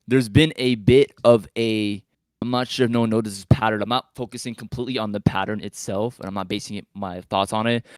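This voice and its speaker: A man's voice: fairly low pitch, a little mellow, no room sound. A man chatting casually as a panelist, tentative and rambling, often correcting himself mid-thought. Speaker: podcast panelist. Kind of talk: casual chat.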